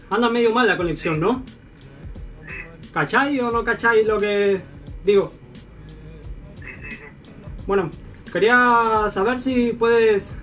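A young man playing a formal, polite Chilean school director on a prank call, with an imitated Chilean accent and halting manner. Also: sits high, a bit bright, far from the mic.

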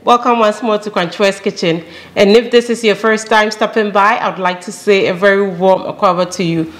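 A woman's voice: mid-range voice, fairly even intonation, a little fast, faint music behind. A young woman from Ghana with a Ghanaian accent, hosting her home cooking channel and talking straight to viewers in a friendly, casual way from her kitchen. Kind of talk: casual introduction to camera.

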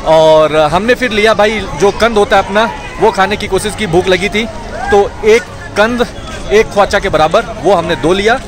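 A man's voice: high-pitched, normal speed. A young man from India, chatting casually to viewers as a travel vlogger, recorded outdoors on a camera held at arm's length. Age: young adult. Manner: chatty, addresses viewers as brother. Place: outdoors at a busy railway station. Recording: outdoor crowd noise, music behind.

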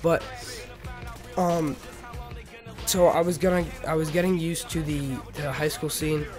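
A teenage male, slightly sick, giving casual, rambling and halting gameplay commentary as host of a gaming video. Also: a little high, fairly even intonation, darkish tone. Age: teenager, about 15. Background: music.

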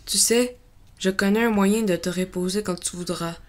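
A gentle little boy prince from a storybook, voiced by a woman, earnest and full of questions. The voice sits at a medium female pitch and sounds innocent and thoughtful. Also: normal speed, natural voice. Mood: helpful, earnest. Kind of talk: audiobook story dramatization.

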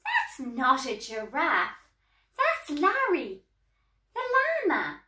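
Woman as an emphatic, high-pitched storybook owl. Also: wildly swooping pitch, sharp tone, exaggerated voice. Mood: firm, reassuring. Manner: emphatic, firm, drawn-out words. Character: brisk, reassuring, bossy female owl. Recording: echoey small room.

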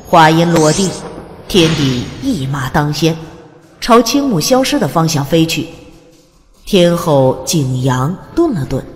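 A woman reading scripted narration of a fantasy audiobook in a dramatic storytelling style. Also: deep voice. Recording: long hall reverb.

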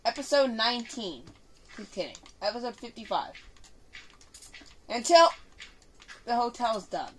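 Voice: mid-range voice, big pitch swings, measured pace, pauses often. A female gaming host giving casual, improvised commentary in a bossy, teasing manner, slipping into play-acted bickering. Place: indoor room, filming a TV screen. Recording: noisy room recording with clicking.

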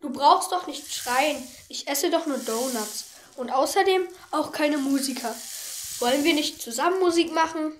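A woman's voice: high-pitched, normal speed, slight background noise, close to an everyday voice. A young female performer playing a friendly, donut-loving singing shark in an amateur radio play.

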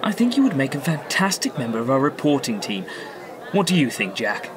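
A man acting a genial, courteous senator and flattering world leader in a radio drama. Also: medium pitch, brisk, close to an everyday voice. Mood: gracious. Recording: crowd noise behind.

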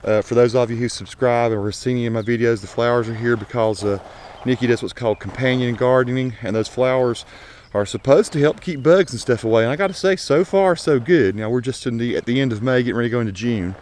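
A man's voice: medium pitch, fast talker, hardly any pauses, a little mellow, a little hiss or hum. A man giving a folksy, unscripted, conversational tour of his home garden, recorded outdoors on an action camera while walking. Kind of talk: casual vlog narration. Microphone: action camera mic.

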